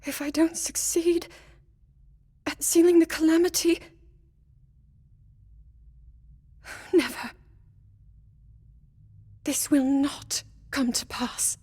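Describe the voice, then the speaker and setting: An actress voicing an earnest young princess who speaks formally and politely in a video game cutscene. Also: female voice, sits high, slow pace, pauses often, dark tone. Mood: fearful. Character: earnest young human princess, dutiful and worried. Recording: game score underneath.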